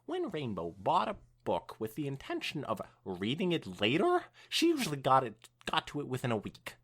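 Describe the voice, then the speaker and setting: A woman co-hosting a podcast, reading fan fiction aloud in an expressive, swooping storytelling style with casual asides. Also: rumbling low, moderate pace, breathy edge.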